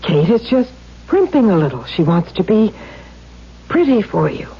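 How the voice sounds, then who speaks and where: An actress playing a bold, defiant carnival performer and protective older sister in a 1970s radio drama. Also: female voice, medium pitch, very animated, moderate pace, slightly rough, full character voice. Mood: mocking, defiant. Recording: muffled vintage radio broadcast.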